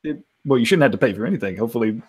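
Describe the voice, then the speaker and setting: A man's voice: medium pitch, expressive, racing, a little mellow, breathy edge. Conversational man improvising in a role-playing game. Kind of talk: casual improvised role-play.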